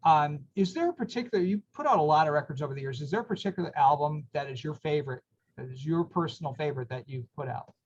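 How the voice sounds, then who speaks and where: A man's voice: on the high side, expressive, quick pace, dull audio. A man hosting a radio interview, asking questions and reacting with enthusiastic short affirmations. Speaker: radio show host interviewer. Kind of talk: casual interview.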